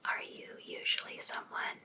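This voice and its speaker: A woman playing an overbearing, obsessive female life coach in an ASMR roleplay, softly asking attentive questions with sweet encouragement. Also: close to an everyday voice. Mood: attentive, encouraging. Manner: soft, unhurried, attentive questioning. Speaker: ASMR performer.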